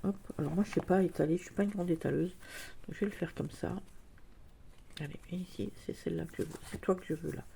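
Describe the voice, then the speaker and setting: A woman's voice: fairly low pitch, fast, creaky, mellow, slight background noise. A woman chatting casually in a vlog, hesitant with many fillers, her nose stuffed up from a cold. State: congested, a cold. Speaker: vlogger. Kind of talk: casual chat.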